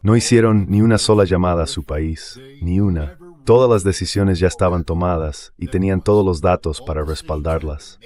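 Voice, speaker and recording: A man's voice: deep voice, moderate pace, a little mellow. A man speaking as a political guest commentator, explaining things in a blunt, emphatic way in a translated voice-over.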